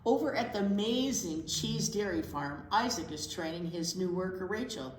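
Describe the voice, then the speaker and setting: A woman's voice: fairly low pitch, lively intonation, normal speed. A woman teacher reading a picture book aloud to children, with casual chatty asides. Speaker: library storytime teacher. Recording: echoey room with faint noise.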